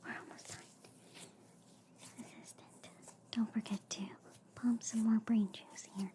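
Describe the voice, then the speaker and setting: A woman whispering softly and soothingly in an ASMR roleplay as a gentle, reassuring alien doctor, close to the microphone. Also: on the high side, level tone, unhurried. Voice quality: soft, breathy whisper.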